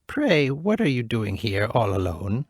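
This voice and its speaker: A man voicing a very old, bent woman who speaks slowly and gently. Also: medium pitch, sing-song, no room sound, studio quality. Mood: kindly.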